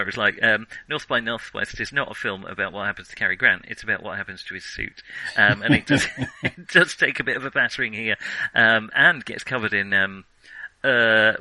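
British guy on a film podcast, really bright forward voice, bit halting, dry sound. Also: lowish voice, dry recording, rough recording. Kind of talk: casual film discussion.

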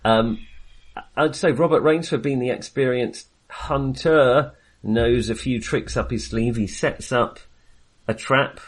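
A man's voice: mid-range voice, takes it slowly, low-quality recording. A man hosting a casual film discussion, talking in a hesitant, jokey way with wry understatement. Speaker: film podcast host.

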